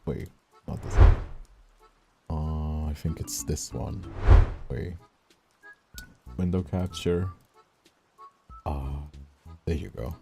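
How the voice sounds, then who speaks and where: A young man from Brazil chatting casually on a livestream, halting and repeating himself as he checks for agreement. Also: extremely low pitch, steady tone, creaky. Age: young adult. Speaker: streamer. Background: background music.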